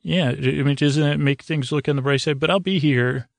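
A man with a creaky, gravelly voice hosting a sleep podcast, delivering a hesitant, meandering bedtime monologue that trails off and circles back. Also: fairly high pitch, normal speed, no echo. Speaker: podcast host.

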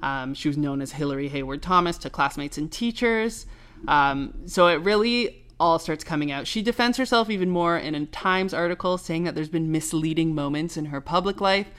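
A young woman co-hosting a podcast in casual chat, talking fast and loose with lots of filler words. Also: low voice, a bit bright. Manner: chatty, lots of "like" and "um", recounting gossip. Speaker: podcast co-host.

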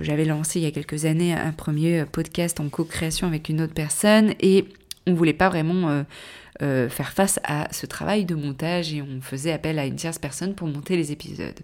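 A woman's voice: low voice, moderate pace, darkish tone. A woman hosting her own podcast, talking casually on her own and wandering off topic with lots of fillers and self-corrections while she has a cold. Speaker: podcast host. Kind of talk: casual solo podcast talk.

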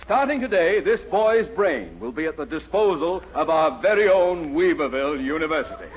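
An actor playing a pompous, gushing university dean in a 1940s radio comedy, on a muffled old recording. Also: male voice, fairly high pitch, animated, moderate pace, lightly acted. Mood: proud, pompous. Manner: pompous, effusive, grandiose.

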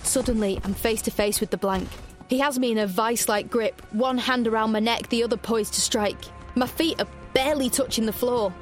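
A woman's voice: a little high, fairly even intonation, a little fast, lightly acted. A woman playing a reflective, wry young heroine, narrating her own story intimately in an audio drama. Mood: tense, wondering. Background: music.

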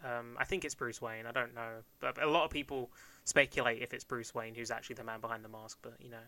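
A man's voice: mid-range voice, fairly even intonation, quick pace, pushed and bright. A man in his twenties from Kent, co-hosting a podcast in casual, joking chat and laughing often. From Kent, England. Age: 20s. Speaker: podcast co-host.

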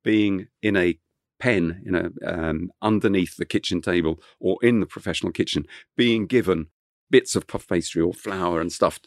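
Middle-aged man, deep and low, dry recording; rambling storyteller guest with a French first language. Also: moderate pace, no room sound. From England (Kent). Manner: rambling, many fillers and restarts. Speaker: chef guest. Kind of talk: relaxed interview storytelling.

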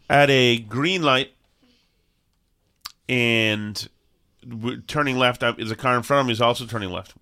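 A man's voice: mid-range voice, takes it slowly, pauses often, sharp tone. A man co-hosting a casual live-stream chat, ranting sarcastically while coughing and losing his voice. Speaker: co-host.

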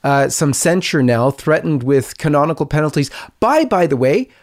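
A man hosting an interview show, speaking in a halting, deliberate and sympathetic way. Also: a little high, lively intonation. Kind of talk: conversational interview.

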